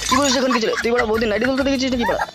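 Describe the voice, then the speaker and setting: A man's voice: very high-pitched, fairly even intonation, racing. A man voicing a cheeky, bickering young friend in a crude comic cartoon, teasing and chatty. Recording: loud music under the voice.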